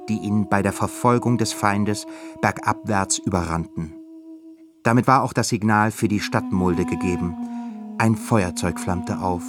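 An actor playing a solemn literary narrator of a war epic in a German radio play. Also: male voice, lowish voice, lightly acted. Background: music.

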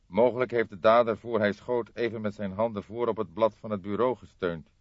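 A grave older male detective from an audiobook, voiced by a male reader. He speaks deliberately and thoughtfully, as someone weighing each fact. The sound is dry and a little muffled. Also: lowish voice, steady tone, no echo, pitched above the performer's own voice, natural voice.

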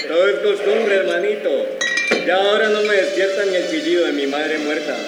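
Actor as bossy, high-voiced Colombian army colonel. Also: male voice, measured pace, heavy background noise. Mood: cynical, familiar. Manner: bossy, coarsely familiar, barracks slang. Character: crude, domineering army colonel in a brothel. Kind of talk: live radio drama reading. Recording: echoey room with loud music.

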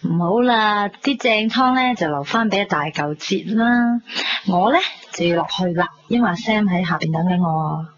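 A woman's voice: medium pitch, lively intonation, normal speed, few pauses, close to an everyday voice. A woman playing a bubbly, loyal young best friend in a Hong Kong Cantonese radio drama, chatty, gushing and teasing. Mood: chatty, persuasive. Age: young adult. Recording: dull 1990s radio recording, background noise.

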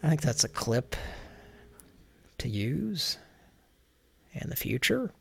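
Unhurried gravelly man, deadpan prank caller, faint hiss behind. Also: fairly high pitch. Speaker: prank call show host. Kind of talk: live prank call show.

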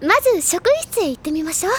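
A woman playing an eager, book-loving high-school girl in an anime-style drama CD, speaking politely and dreamily. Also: fast talker, full character voice. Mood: calm. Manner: bright, excitable and wistful, polite feminine speech. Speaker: book-loving older student.

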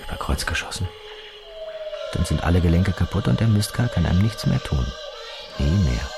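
A gravelly, very deep detective. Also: male voice, big pitch swings, normal speed, heavily acted. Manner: dry, clipped, telling a violent story as a bedtime tale. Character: hard-edged male police detective, father. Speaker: police detective. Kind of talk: radio play acting. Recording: heavy music under voice.

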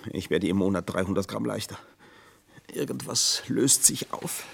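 Husky, indignant ageing manservant. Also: male voice, fairly low pitch, moderate pace, a bit bright, full character voice. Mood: agitated, bitter. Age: older. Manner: theatrical, stilted formal phrasing, indignant. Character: aggrieved ageing manservant and secret lover, indignant, self-pitying. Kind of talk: radio drama acting.

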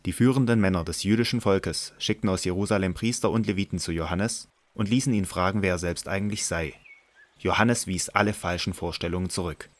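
Deep-voiced male scripture narrator. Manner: measured, solemn reading.

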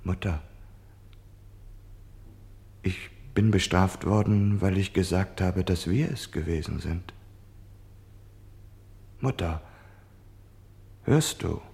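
An actor playing a defiant, self-justifying German man in a radio play, declaiming theatrically between hushed confiding and shouting. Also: low-pitched, slow, creaky, long pauses, roomy sound, exaggerated voice. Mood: pleading.

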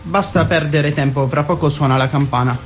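A man's voice: a little high, faint music behind, lightly acted. An actor playing a cheeky, stalling schoolboy in a stage comedy-drama, teasing and drawing out his words in mockery. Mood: evasive. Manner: teasing, drawn-out mocking reading, evasive.

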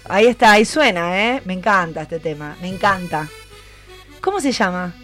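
A woman's voice: lowish voice, expressive, normal speed, fairly bright tone. Argentine woman hosting radio, faint music behind. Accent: Argentine Rioplatense. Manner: prompting, checks back with guests. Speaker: radio host. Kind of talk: conversational radio interview.

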